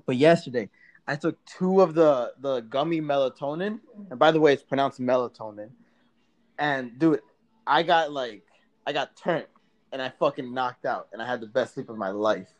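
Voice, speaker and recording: A man's voice: medium pitch, moderate pace. Laid-back man chatting and laughing. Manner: laid-back, laughs often, swears casually. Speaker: podcast co-host. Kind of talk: casual chat between friends. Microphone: remote call mic.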